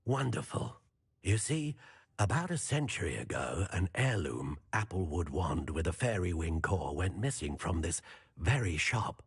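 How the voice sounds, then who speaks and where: Old wandmaker in a game, polite and formal, really raspy, voice goes up and down a lot. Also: male voice, sits low, big pitch swings, exaggerated voice. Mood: gracious, intrigued. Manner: genteel, measured, formal. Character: courteous old male wandmaker shopkeeper. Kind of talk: video game character acting.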